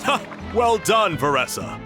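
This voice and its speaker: A man's voice: sits high, big pitch swings, a little slow, music in the background, crowd noise. An actor playing a jovial man hosting an eating contest in a video game, with a hearty storytelling manner. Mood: proud.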